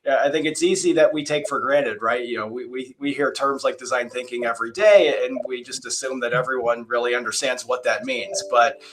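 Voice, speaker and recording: A man's voice: mid-range voice, a little fast, hardly any pauses, noisy background. A man moderating a design panel, reading guest introductions and then chatting casually with many fillers and restarts.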